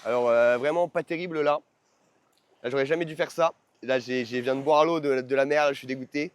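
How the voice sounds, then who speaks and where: A man's voice: mid-range voice, fairly even intonation, brisk, smooth, no echo. A man chatting casually to his followers in a travel vlog, in informal, slangy speech with phrases repeated for emphasis. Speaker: vlogger; online course seller. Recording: noisy on-location vlog sound.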